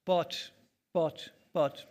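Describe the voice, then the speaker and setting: A man's voice: very slow, a little mellow. An Irish man moderating a conference panel on stage, jovial and teasing, often repeating words as he thinks.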